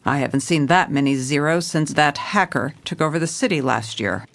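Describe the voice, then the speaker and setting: A woman's voice: low-pitched, normal speed, fairly bright tone. A woman playing a jaded, hard-boiled police commissioner, narrating a noir audio drama in a dry, world-weary, stern way.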